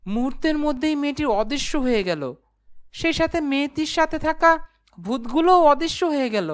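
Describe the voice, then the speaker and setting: A woman's voice: high voice, lively intonation, fairly quick. A woman voicing a timid, naive, polite young man who tells his own cartoon story in a meek, earnest way. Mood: wondering. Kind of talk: first-person cartoon storytelling and dialogue.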